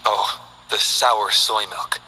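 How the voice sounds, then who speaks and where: An actor playing a calm, reflective male scholar who speaks in a measured, precise way in game character voice lines. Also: on the low side, slight background noise, heavily acted, low-quality recording. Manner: measured, thoughtful, precise delivery.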